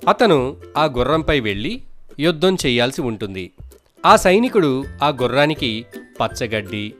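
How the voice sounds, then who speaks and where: A man's voice: medium pitch, fairly bright tone. A man narrating scripted moral stories for children as a storyteller.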